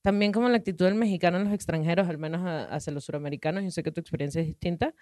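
A woman's voice: lowish voice, racing, dry recording, studio quality. A woman in her thirties with a Venezuelan accent, a podcast guest chatting casually and telling long, rambling stories with easy laughs. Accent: Venezuelan Spanish. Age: 30s. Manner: relaxed, rambling storyteller who laughs easily. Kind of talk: casual conversational interview.

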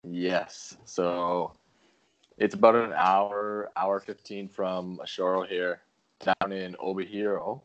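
A man with a very low voice talks casually over a video call. He tells his stories in an unhurried, easygoing way and laughs often. He comes from Canada and is the guest here. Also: lo-fi sound. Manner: relaxed storytelling, laughs often. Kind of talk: casual chat. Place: home in rural Japan.

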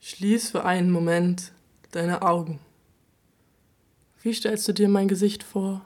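A woman's voice: lowish voice, steady tone, slow, a little hiss or hum. A woman in a radio play speaking directly to the listener and asking a string of questions that invite them to imagine her. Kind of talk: scripted address to the listener.

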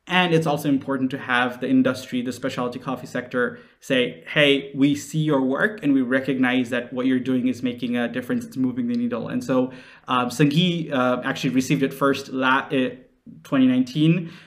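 A man's voice: medium pitch, fairly even intonation, moderate pace. A man in his late twenties from Nepal, answering interview questions conversationally in an earnest, explanatory manner. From Nepal, raised in several countries. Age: late 20s. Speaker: interview guest, social entrepreneur.

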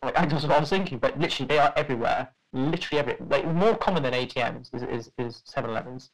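A young man talking at an ordinary middle pitch, telling a story in a loose, rambling way. He stops and restarts often and fills the gaps with ums and likes. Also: racing, poor audio. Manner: rambling, many fillers and restarts, laughs at own story. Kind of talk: casual podcast storytelling.

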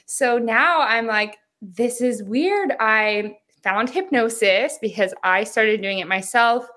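A woman in her thirties, a hypnotherapist, talking as a podcast guest, chatty and upbeat, with a soft, soothing voice and frequent laughs. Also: fairly high pitch, takes it slowly. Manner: talkative, upbeat, laughs often. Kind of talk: casual interview chat.

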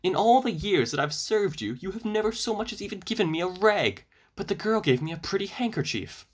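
Man as a high-pitched, trembling servant girl. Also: lively intonation, brisk.

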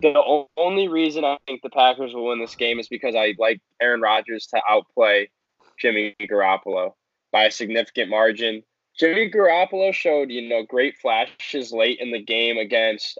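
A man gives casual sports commentary as a podcast co-host. His voice sits at a middle pitch, recorded close and dry with slightly dull fidelity. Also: moderate pace, fairly bright tone, dry recording.

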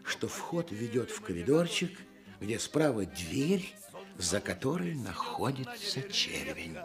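A man's voice: mid-range voice, sing-song, slow pace, music in the background. A man playing the narrator of a radio adventure play, telling the story in dramatic scripted narration. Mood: suspenseful.

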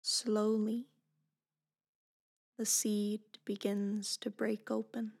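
A woman guides a meditation very slowly, in a soft and breathy voice with some creak in it. Her pitch sits a little high but stays very even. The delivery is gentle and deliberate, with phrases trailing off almost into a whisper. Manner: gentle, unhurried, deliberate instruction.

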